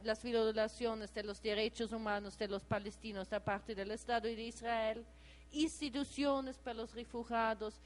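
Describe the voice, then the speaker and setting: Italian woman activist, non-native, halting public address, pitched a bit high. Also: low-quality recording. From Italy. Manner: hesitant, many filler sounds and restarts. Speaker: activist speaker. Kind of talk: public speech at an assembly.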